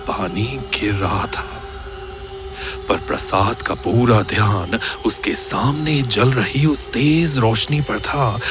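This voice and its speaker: A man narrating a scripted horror audio story in a suspenseful, dramatic manner. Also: mid-range voice.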